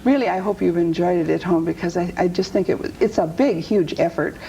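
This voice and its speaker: A woman's voice: lowish voice, a little fast, vocal fry, warm tone, lo-fi sound, slight background noise. A woman hosting a talk show in a chatty interview style.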